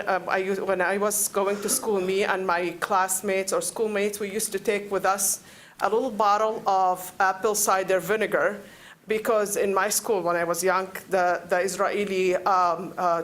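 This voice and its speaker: A woman in her forties from Palestine with a Palestinian Arabic accent, making an unscripted plea at a council meeting, halting and often searching for words. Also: medium pitch. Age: 40s. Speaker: public commenter at a council meeting. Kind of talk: unscripted public speech, pleading.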